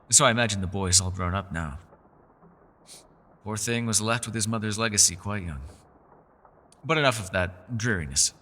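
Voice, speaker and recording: A man's voice: medium pitch, sharp tone, in a large hall, heavily acted. A man playing a mysterious, scholarly elf in a fantasy roleplay, with a refined, theatrical and teasing manner. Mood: musing, wistful. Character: mysterious, scholarly male elf, playful yet guarded. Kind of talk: fantasy ASMR roleplay, scripted character acting.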